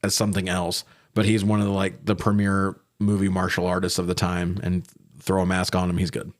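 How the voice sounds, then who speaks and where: A man's voice: sits low, monotone, a little fast. A man co-hosting a film review show in casual banter, talking informally with some mild swearing. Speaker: podcast co-host reviewing films.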